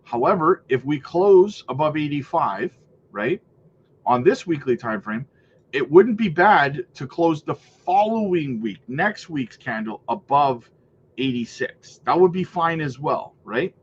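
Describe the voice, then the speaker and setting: A man's voice: on the high side, expressive, unhurried, slightly rough, lo-fi sound. A man with a stuffy cold hosting a live crypto stream, walking through price charts and greeting viewers in an upbeat way, often tagging "right?" and "man". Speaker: crypto livestream host. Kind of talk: live chart analysis and chat shout-outs.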